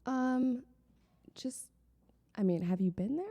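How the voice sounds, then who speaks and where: A woman's voice: high voice, slow, mellow, in a large hall, muffled recording. A young woman from the Chicago suburbs, a model and founder, chatting as an interview guest in a sarcastic, teasing way. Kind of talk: casual interview conversation.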